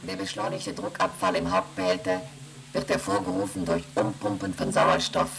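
A man voicing an emotionless onboard computer in a radio play, reading out readings and rules in a flat, mechanical way. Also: low-pitched, normal speed, creaky, a crowd in the background. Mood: flat reporting. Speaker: ship's computer.

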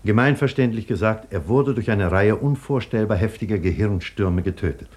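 A grave, husky-voiced scientist narrator. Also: male voice, on the low side, a little mellow. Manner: measured, grave, explanatory. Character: sober male scientist narrator, thoughtful, burdened. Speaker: scientist and doctor narrating his own story. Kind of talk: 1950s radio drama acting and narration.

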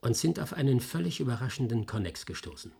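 An actor playing a sharp, persistent male investigator in a German radio play, explaining in a measured, insistent way with a slightly husky voice. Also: medium pitch, expressive, full character voice. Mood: explanatory, assured.

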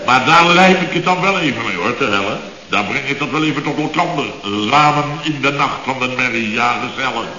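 A man's voice: medium pitch, fairly quick, few pauses, a crowd in the background, natural voice. An actor playing a blustering, sarcastic middle-aged contractor boss who grumbles and muddles his expressions in a radio comedy. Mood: grumbling. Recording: dull, echoey old radio recording.

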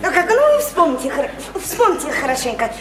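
Naive odd young woman in a radio play, high voice, halting childlike talk, echoey and noisy recording. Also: big pitch swings. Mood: coaxing. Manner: breathless, halting, childlike, repeats herself. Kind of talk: stage-style radio drama acting.

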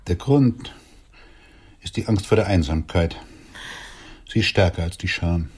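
A man's voice: sits low, a little slow, darkish tone, breathy edge. A man acting in a radio drama as an aging, lecherous swindler, blunt, wry and world-weary. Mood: bitter, frank. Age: older. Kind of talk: intimate radio drama dialogue.

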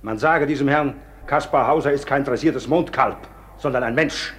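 A man's voice: medium pitch, moderate pace, fairly bright tone, slight background noise, close to an everyday voice. An actor playing a formal, commanding nobleman addressed as Excellency. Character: dignified noble excellency, firm and humane. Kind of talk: radio play acting.

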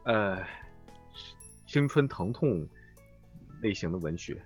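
A man chatting as a voice actor guest in a live interview, phrasing things haltingly. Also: lowish voice, expressive, slightly rough, soft background music. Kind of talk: casual live interview chat.

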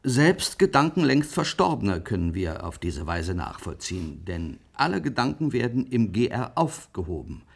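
An actor playing an emphatic, pedantic professor in a radio drama interview. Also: male voice, medium pitch, big pitch swings, moderate pace, gravelly, few pauses, husky, exaggerated voice. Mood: insistent.

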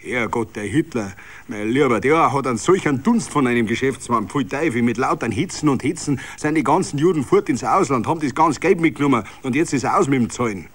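A bitter Bavarian tradesman, acted out by a male reader, grumbles indignantly in thick dialect. His pitch jumps about freely as he hammers each point home in a tavern scene from a radio play. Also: expressive, brisk, slightly rough.

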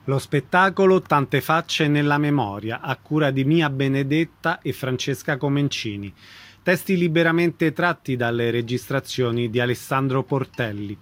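A man's voice: mid-range voice, moderate pace, a bit bright, faint music behind. A man hosting a radio programme, reading announcements and introducing a guest. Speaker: radio host. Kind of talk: scripted radio announcing and interview. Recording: radio broadcast.

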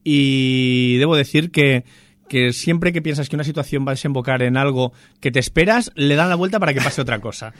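Guy gabbing about a movie, mid-pitched, dry close sound. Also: fairly quick, no room sound. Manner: chatty, enthusiastic, frequent restarts. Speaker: film reviewer on a radio show. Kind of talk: casual chat.

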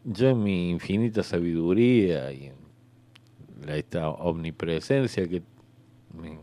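A man with a Rioplatense Argentine accent playing God as a hesitant, bureaucratic afterlife clerk in radio comedy, rambling with searching pauses. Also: sits low, dark tone. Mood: patient, rambling. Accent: Rioplatense Argentine Spanish. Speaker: comedy actor in a radio sketch. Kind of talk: improvised radio comedy dialogue.

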